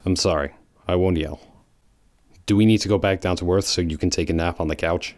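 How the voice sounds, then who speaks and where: A man voices a stern, guarded male hedgehog for a fan audio drama. His voice sits very low and his delivery stays flat and curt. The recording is dry and close. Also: brisk, no room sound, lightly acted. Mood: calm.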